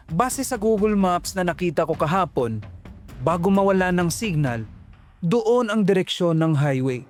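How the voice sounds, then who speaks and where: A man narrating a first-person horror story in a dramatic, suspenseful manner. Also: high-pitched.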